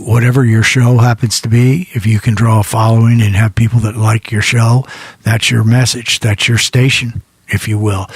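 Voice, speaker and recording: A man's voice: on the low side, husky, dry recording. An older American man hosting an internet radio show, talking in a rambling, reflective, conversational way between songs. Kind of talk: casual monologue between songs.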